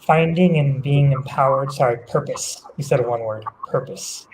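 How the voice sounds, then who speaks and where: A man's voice: mid-range voice, moderate pace. A man giving concise, unscripted answers as a panelist. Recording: faintly noisy online call.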